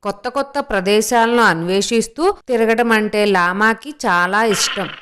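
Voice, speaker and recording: A woman's voice: medium pitch, normal speed, background noise. A woman reading scripted narration for a children's story.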